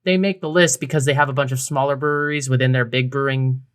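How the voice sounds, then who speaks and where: A man's voice: mid-range voice, steady tone, quick pace, smooth. A man co-hosting a podcast in a casual, informal, conversational way. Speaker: podcast co-host.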